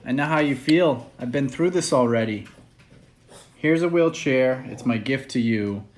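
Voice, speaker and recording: A man with a medium-pitched voice reading a children's picture book aloud. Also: measured pace, a little hiss or hum. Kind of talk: read-aloud children's story.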